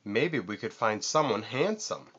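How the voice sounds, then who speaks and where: A man's voice: lowish voice, sing-song, measured pace, fairly bright tone, husky, muffled recording, full character voice. A man voicing an eager, helpful male lion in a children's story, earnestly making suggestions. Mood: hopeful.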